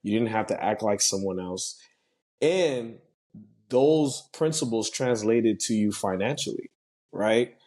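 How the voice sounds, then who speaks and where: A man hosting a podcast in casual conversation, relaxed and full of fillers. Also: fairly low pitch, slow. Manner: relaxed, filler-heavy, affirming. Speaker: podcast host.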